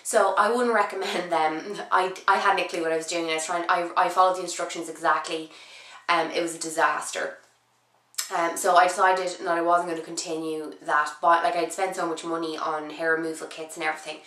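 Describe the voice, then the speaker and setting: A young woman chats to her camera while ill, her voice roughened and sitting lower than usual for her. She rambles, restarts sentences and fills gaps with ums. The sound bounces around an echoey room. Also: steady tone, brisk, fairly bright tone. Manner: chatty, rambling, frequent "um" and restarts. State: sick, voice husky and low. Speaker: beauty vlogger. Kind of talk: casual chat to camera.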